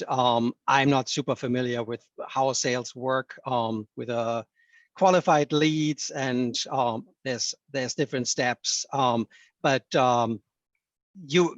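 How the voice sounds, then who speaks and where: A man's voice: mid-range voice, dry recording. A man answering questions on a webinar panel, hesitant, with many ums and restarts.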